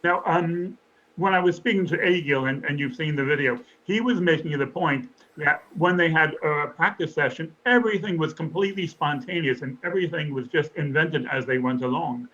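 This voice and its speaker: A man's voice: fairly high pitch, moderate pace, bright, forceful tone, highs cut off. A man hosting an interview, chatty and enthusiastic, asking leading questions. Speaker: interviewer, show host.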